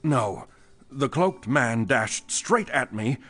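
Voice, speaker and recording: An actor playing a frightened, defensive company boss in a pulp thriller audio drama, with a nervous, hesitant manner. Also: male voice, medium pitch, expressive, slow pace, fairly bright tone. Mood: frightened, agitated. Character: frightened, shaken male chemical company boss under police questioning.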